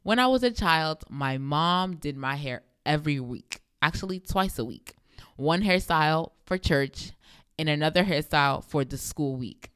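A young woman with a deep voice hosting a devotional podcast, speaking emphatically and repeating phrases for effect. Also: animated, normal speed, a bit bright, clear, resonant tone, no echo, clean studio sound. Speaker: podcast host.

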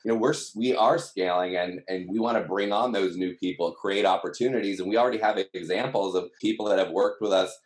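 Man, deep pitch, roomy echoey recording, conversational, earnest explainer. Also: a little fast, few pauses. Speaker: company team member, interview guest. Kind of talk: conversational interview. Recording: echoey room sound.

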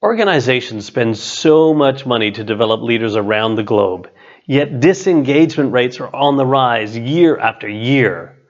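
A middle-aged man, an author and coach, reads his own written text as scripted narration in a measured, deliberate way. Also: medium pitch, lively intonation, lo-fi sound. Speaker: author, business and leadership coach.

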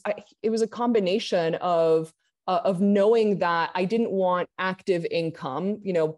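Woman, low voice, raised in Argentina, candid interview talk, restarts. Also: darkish tone. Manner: candid, quick, many restarts. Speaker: guest. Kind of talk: casual interview chat.

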